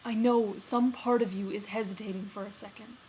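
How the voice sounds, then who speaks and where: A woman performing a dramatic monologue as a lovesick man confessing love to a friend, earnest and halting, with emphatic swells. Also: mid-range voice, fairly even intonation, mellow, lightly acted, poor audio. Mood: desperate, insistent. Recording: noticeable background noise.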